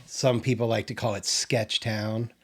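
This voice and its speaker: A man's voice: fairly low pitch, little pitch movement, measured pace. An older man from the Kansas City area, chatting casually on a podcast, laughing often and restarting his sentences. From Kansas City area, Missouri. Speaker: podcast co-host. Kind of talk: casual chat.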